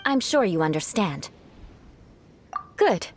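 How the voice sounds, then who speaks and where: A woman's voice: medium pitch, big pitch swings, takes it slowly, soft background music. A woman playing a proud young noblewoman knight in a video game, speaking in a formal, haughty, theatrical manner. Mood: proud, instructing. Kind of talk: animated game voice acting.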